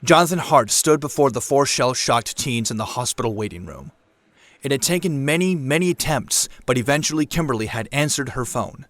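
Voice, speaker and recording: A man's voice: medium pitch, expressive, a little fast, fairly bright tone, no room sound. A man reading scripted dramatic narration as the narrator of an audio drama.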